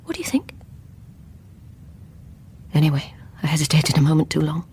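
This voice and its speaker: A woman's voice: deep voice, sing-song, normal speed, a little mellow, a little hiss or hum. An actress playing a haunted, bitter, unstable woman in a radio play, with a brooding, intense delivery. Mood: menacing, hushed. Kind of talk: radio play acting, dramatic monologue.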